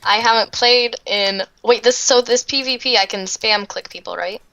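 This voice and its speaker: A woman's voice: fairly high pitch, steady tone, normal speed, gravelly, pushed and bright, rough recording. A young woman chatting during a game in a teasing, giggly way, her voice worn out. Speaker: guest player. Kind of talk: casual chat.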